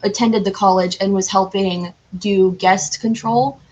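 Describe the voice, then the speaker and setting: A woman with a medium-pitched voice talks as a guest in a casual interview. She is enthusiastic and informal, with a giggly streak. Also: steady tone, unhurried, darkish tone, highs cut off, slight background noise. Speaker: podcast guest, cosplayer.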